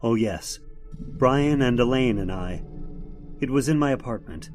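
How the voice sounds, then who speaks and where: A man playing a concerned, steady young hero in old-time radio horror, speaking in an earnest, dramatic way. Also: medium pitch, a little slow, faint music behind, natural voice. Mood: ominous.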